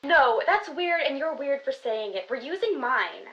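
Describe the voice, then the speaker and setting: A woman playing an excitable, easily scared young best friend in a video game, with casual, dramatic, naturalistic delivery. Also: high voice, a little slow, dry recording, muffled recording, heavily acted. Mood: teasing, playful. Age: young adult. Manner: casual, expressive, sighing, dramatic.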